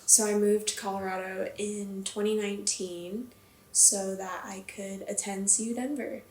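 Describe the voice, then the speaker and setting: A woman's voice: mid-range voice, steady tone, a little slow, darkish tone, slight background noise. Woman, from Hawaii, wry, lighthearted, echoey room. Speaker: interviewee. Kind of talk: casual interview answers.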